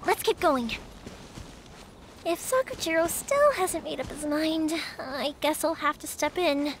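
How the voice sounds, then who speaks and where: A woman's voice: high-pitched, measured pace, a little hiss or hum. An actress voicing a cheerful, determined young woman fireworks maker in a video game. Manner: lively, caring and determined. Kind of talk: video game dialogue acting. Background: game music.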